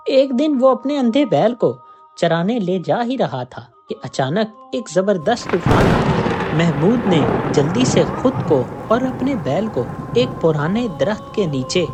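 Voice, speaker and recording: An expressive storyteller narrates a scripted moral tale with a lively, moving melody. The pitch sits in a middle range, and music plays softly behind the voice. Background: background music.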